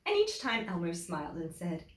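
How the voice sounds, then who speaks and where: A woman teacher reading a children's picture book aloud in an expressive, deliberate way, with emphatic phrasing for young listeners. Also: fairly low pitch. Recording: echoey room.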